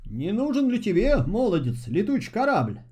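A mysterious storybook stranger tempting a lad with gifts, performed by a man. The delivery is high, brisk and coaxing, with animated swings of pitch. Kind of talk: storybook fairy-tale voice acting.

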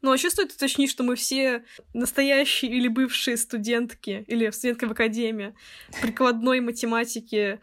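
A woman's voice: a little high, level tone, normal speed, fairly bright tone. A woman in her twenties, co-hosting a podcast in a casual, chatty way with playful exaggeration. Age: 20s. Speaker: podcast co-host.

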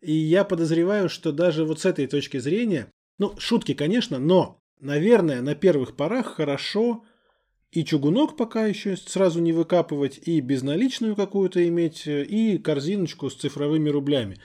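A man's voice: a little high, expressive, normal speed, mellow. A man chatting casually as a podcast co-host, talking in little imagined scenes like a storyteller. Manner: storytelling, acts out imagined remarks. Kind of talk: casual conversational chat. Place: podcast recording studio. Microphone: close studio microphone.

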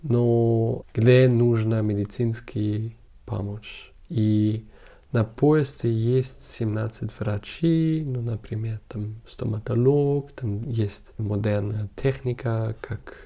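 Slow, low-voiced man with a foreign accent. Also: steady tone, warm tone. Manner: halting, searching for words. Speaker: interview guest, documentary photographer. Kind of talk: conversational interview.

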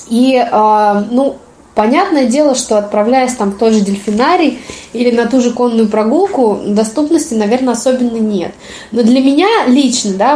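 Женщина-гостья с лёгкой хрипотцой после болезни непринуждённо и вдумчиво рассказывает о своём опыте, часто делая паузы. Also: female voice, a little high, a little mellow. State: slightly hoarse, recovering from illness. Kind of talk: casual personal storytelling. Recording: roomy, echoing sound.

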